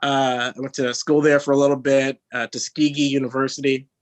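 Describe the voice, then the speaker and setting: A young man from Texas doing a stand-up set, halting and filled with "uh" and "um". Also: medium pitch, flat delivery, no echo. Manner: halting delivery with many fillers. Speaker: stand-up comedian.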